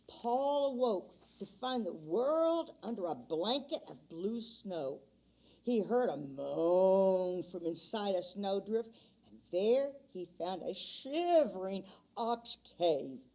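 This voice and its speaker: Woman storybook reader, slow and animated delivery, a touch breathy. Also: mid-range voice. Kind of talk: reading a picture book aloud. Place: church.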